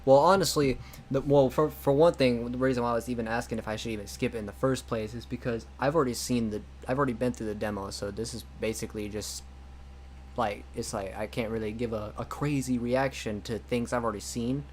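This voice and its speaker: A young man chatting loosely while he streams a game, circling back on his words and laughing easily. His voice sits at an ordinary middle pitch. A faint hum sits under his speech. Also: fairly even intonation, fairly quick. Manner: rambling, full of restarts and "like". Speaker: streamer playing a game mod. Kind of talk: casual chat over a game stream.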